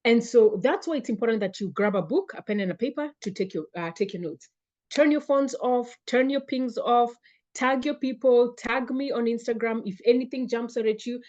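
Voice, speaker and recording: A woman hosting a live webinar, warmly greeting viewers by name and repeating her phrases. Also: on the high side, moderate pace, highs cut off. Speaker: webinar host and fitness coach. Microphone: computer mic on a video call.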